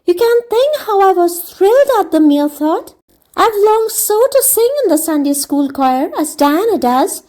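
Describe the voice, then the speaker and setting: A woman's voice: very high-pitched, mellow, voice pushed up. A woman voicing an eager, dreamy, talkative young girl, gushing earnestly as a storybook character. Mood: thrilled, wistful. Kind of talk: audiobook character reading.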